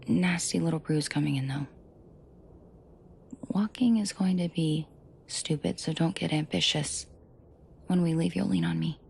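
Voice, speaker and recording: A woman playing a protective mafia boss in an intimate audio drama, soft and breathy with frequent whispering, commanding and dryly teasing. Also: deep voice, slight background noise, exaggerated voice. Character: protective female mafia boss, menacing to henchmen, tender to victim.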